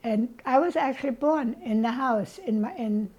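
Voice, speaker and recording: A woman, telling her childhood story as an interviewee in a slow, reflective way. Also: on the high side, a little mellow, husky. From Germany. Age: 80s. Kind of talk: reminiscing about childhood.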